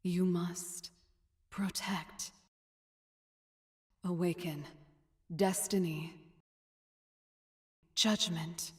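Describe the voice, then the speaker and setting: A woman's voice: fairly low pitch, steady tone. A woman playing a mysterious otherworldly being that gives solemn, portentous commands in a fantasy visual novel. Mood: ominous. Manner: halting, portentous, one word at a time.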